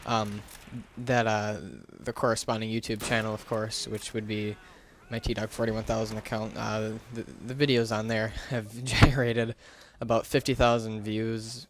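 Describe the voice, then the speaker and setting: A man's voice: lowish voice, little pitch movement, moderate pace, few pauses, a little hiss or hum. A man giving a casual, unscripted gaming commentary into a gaming headset, talking in a hesitant, rambling, self-deprecating way. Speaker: gaming YouTuber.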